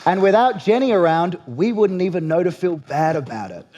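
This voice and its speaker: A man's voice: sits high, expressive, fairly quick, dark tone, muffled recording, a little hiss or hum. A young Australian man hosting a late-night talk show, delivering a sardonic satirical monologue with punchy jokes.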